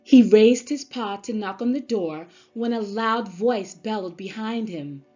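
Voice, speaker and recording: A woman narrating a children's story aloud in an expressive storybook-reader style. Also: medium pitch, measured pace. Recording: slightly dull sound, music underneath. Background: music.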